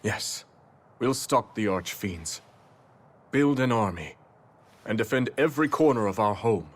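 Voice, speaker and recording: An actor playing a noble, resolute king in a game cutscene, speaking in a formal, measured way. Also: on the low side, expressive. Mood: grave, resolute. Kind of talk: video game cutscene acting.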